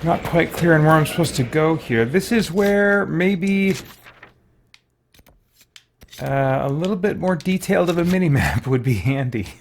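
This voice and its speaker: A man's voice: fairly high pitch, expressive, unhurried, a little hiss or hum. A man giving casual let's-play commentary, thinking aloud in a tentative way as he goes. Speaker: gaming video host.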